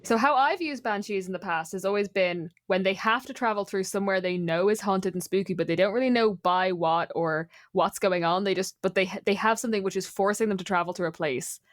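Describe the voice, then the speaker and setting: A woman in casual, informal conversation about tabletop gaming, animated and teasing as a podcast co-host. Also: medium pitch, fairly quick, hardly any pauses, no room sound, studio quality. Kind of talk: casual chat about tabletop game monsters.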